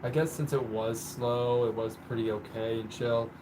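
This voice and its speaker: A man's voice: mid-range voice, steady tone, normal speed, noisy background. Rambling, chatty young man on a livestream. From born in the country where he lives. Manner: chatty, rambling, with many "like" and "um" fillers. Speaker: livestream host. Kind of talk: casual livestream chat. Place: indoors at home, painting on the floor.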